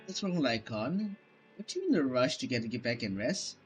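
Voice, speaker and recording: A man's voice: medium pitch, very animated, moderate pace, soft background music. A male gaming YouTuber giving casual commentary and reading game text aloud.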